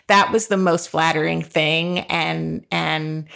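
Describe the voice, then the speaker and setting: A woman's voice: sits low, fairly even intonation, slow pace, creaky, a bit bright. An American woman co-hosting a podcast, chatting casually and admiringly about books. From Jacksonville, United States. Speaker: podcast host and author. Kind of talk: casual book chat.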